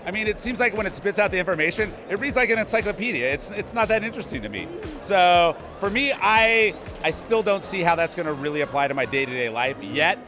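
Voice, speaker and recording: A man with a fairly high voice chats as a guest at an outdoor event, upbeat and emphatic, driving his points home by saying them twice. Loud music plays behind him. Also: lively intonation, fast. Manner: upbeat, emphatic, repeats himself. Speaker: interview guest. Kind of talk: casual event interview. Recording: music playing loudly behind.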